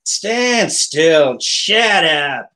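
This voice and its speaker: A man's voice: fairly high pitch, very animated, very slow, sharp tone, full character voice. An actor playing a sullen, spiteful young hired gunman with a slangy, sneering manner in a 1940s-style radio drama. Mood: threatening.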